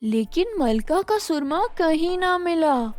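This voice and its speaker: Female storyteller for children's bedtime tales: high pitch, slow deliberate reading, expressive, soft music bed. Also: no echo.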